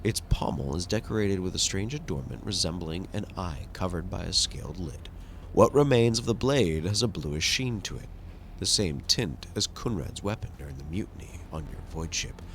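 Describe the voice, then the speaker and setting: Low gravelly male narrator for a game playthrough, dramatic and measured. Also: animated, a bit bright, a little hiss or hum. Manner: measured, dramatic storytelling delivery. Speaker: host and voice actor of a game playthrough. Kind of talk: narrating a video game aloud.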